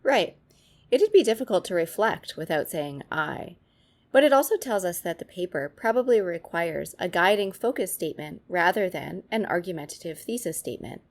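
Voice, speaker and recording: A woman's voice: fairly low pitch, expressive, normal speed. A woman presenting scripted instructional tips, addressing the viewer in an instructive manner.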